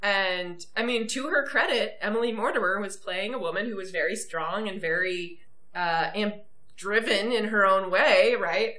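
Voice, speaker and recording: A woman's voice: mid-range voice, normal speed, sharp tone. A woman co-hosting a casual chat about a TV episode, chatty and swearing freely. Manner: chatty, swearing, acts out quoted voices. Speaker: podcast co-host.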